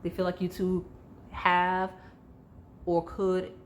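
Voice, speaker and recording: A woman with a somewhat low, slightly hoarse voice talks through a tarot card reading. She rambles casually, fills her sentences with "like" and speaks straight to her viewers. A faint hum of noise sits behind her. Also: completely flat delivery, slow. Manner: chatty, rambling, heavy use of "like", addresses viewers directly. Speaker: tarot reader.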